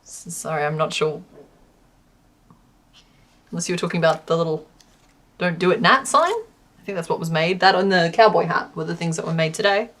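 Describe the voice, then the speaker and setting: A woman's voice: on the low side, expressive, normal speed, long pauses. A woman chatting casually on a crochet livestream, rambling with many fillers and reading chat aloud. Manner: reads chat aloud and counts stitches quietly. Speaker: crochet streamer.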